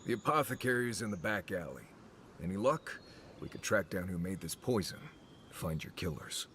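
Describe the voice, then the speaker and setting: An actor voicing a serious companion character in a fantasy game, speaking steadily and matter-of-factly. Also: male voice, low voice, lively intonation, normal speed, fairly bright tone, slight background noise. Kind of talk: game dialogue.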